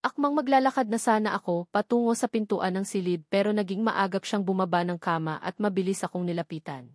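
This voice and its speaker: A woman's voice: medium pitch, brisk, dry recording, clean studio sound. A woman reading scripted first-person romance fiction as an audiobook narrator.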